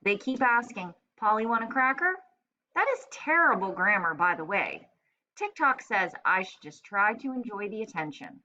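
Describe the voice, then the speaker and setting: A woman voicing a grumpy, sarcastic, indignant pet parrot in a children's storybook diary, with deadpan, exasperated complaining. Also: mid-range voice, normal speed, muffled recording, slight background noise. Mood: deadpan, weary. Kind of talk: children's storybook read-aloud in diary form.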